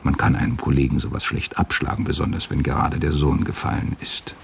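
A man's voice: rumbling low, fairly even intonation, fairly quick, creaky, slight background noise. A man giving scripted literary narration as the narrator of a radio drama.

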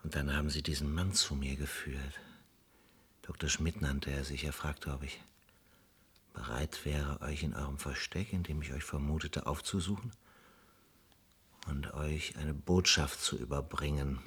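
An actor playing a brooding, guilt-haunted former police sergeant in a radio play, halting and husky. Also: male voice, a bass voice, steady tone, fairly bright tone, heavily acted. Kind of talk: German radio play acting.

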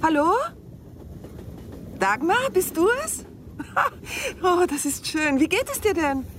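An actress in a radio play playing an elegant, affectionate, teasing middle-aged bride. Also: female voice, very high-pitched, very animated, takes it slowly, slight background noise, full character voice. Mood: excited, delighted. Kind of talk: 1990s German radio play acting.

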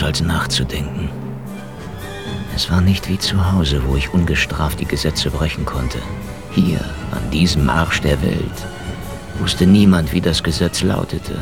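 Casting: hardboiled, wisecracking KGB man, extremely deep, sardonic deadpan, noir radio play over prominent music. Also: creaky, exaggerated voice. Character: hardboiled cynical male KGB investigator, hard-drinking, foul-mouthed, wisecracking. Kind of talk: noir radio drama, first-person narration and dialogue. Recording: music behind the voice.

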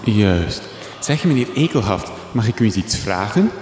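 Childlike eager reporter, radio horror comedy, lively swooping pitch, long reverb, music underneath. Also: male voice, moderate pace. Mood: naive curiosity. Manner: innocent, inquisitive, boyish. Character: naive, childishly enthusiastic male reporter, chatty and curious. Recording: echoey reverb, music behind.

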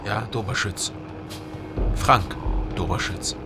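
A quietly probing man in a radio play. His voice has a gravelly fry and an understated, dry delivery, with music underneath. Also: lowish voice, slow pace. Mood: probing, restrained. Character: probing, reserved man investigating, also narrating. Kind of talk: naturalistic radio drama acting and narration.

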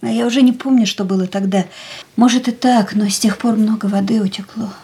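An actress playing a fragile, restless young woman in a radio drama, speaking softly and often in a hushed near-whisper. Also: mid-range voice, fairly even intonation, brisk, breathy edge. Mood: weary, quiet.

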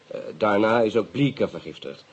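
An actor playing a polite, reserved British police inspector in a radio drama, with an English accent, a husky voice and a hesitant, guarded manner. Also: male voice, lowish voice, lively intonation, moderate pace, lo-fi sound. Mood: matter-of-fact.

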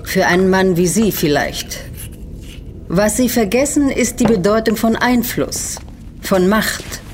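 Audio drama role: woman playing cool, condescending secret-order matriarch, mid-pitched, measured, power-hungry, over a music bed. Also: a little mellow, slight background noise, lightly acted. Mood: haughty, condescending.

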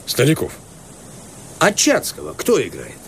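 Animated, folksy country gentleman. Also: fairly high pitch, unhurried, creaky, slight background noise, full character voice. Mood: musing. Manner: folksy, exclamatory, placating. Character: easygoing, expansive Russian country landowner, brother of a professor. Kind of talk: Russian radio play acting.

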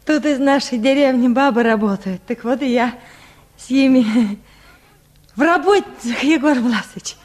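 An actress playing a meek, lovelorn peasant wife of about thirty in a radio drama, with timid, plaintive, folksy speech. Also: female voice, high-pitched, unhurried, darkish tone. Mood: shy, reproachful. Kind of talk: Russian radio drama acting.

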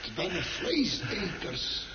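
A man's voice: a little high, huge pitch swings, takes it slowly, sharp tone, exaggerated voice. An actor playing a solemn male expert explaining exotic plants in a radio drama. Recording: echoey, distant, noisy muffled radio recording.

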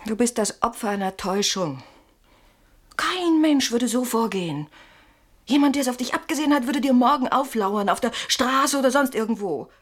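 A woman's voice: fairly high pitch, animated, normal speed. An actress playing a worried, sceptical woman in a radio play, questioning and reasoning, often close to a whisper. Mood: insistent reasoning. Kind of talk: German radio play acting.

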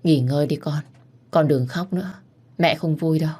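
A woman's voice: sits low, normal speed, mellow, breathy edge. Woman reading as a restrained, sorrowful old father. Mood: subdued.

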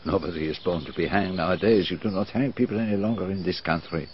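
An actor playing a fastidious middle-aged detective, speaking in a courteous, formal, probing way. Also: male voice, low-pitched, close to an everyday voice. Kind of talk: 1980s-style BBC radio drama. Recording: dull lo-fi archive radio, faint hiss.

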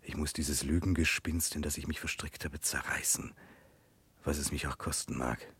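An actor playing a troubled, guilt-ridden Russian prince in a German radio drama, brooding, earnest and formal. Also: male voice, extremely low pitch, steady tone, normal speed, fairly bright tone, husky, heavily acted. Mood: bitter self-reproach.

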